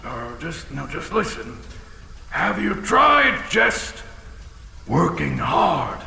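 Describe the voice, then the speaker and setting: A man voicing a battle-scarred old dragon in an audio drama, fiercely proud and bombastic, with a gravelly, folksy delivery. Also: a little high, big pitch swings, very slow, bright, forceful tone, exaggerated voice. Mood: earnest, fatherly. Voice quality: gravelly, creaky. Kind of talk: audio drama character acting. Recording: reverberant chamber, some background noise.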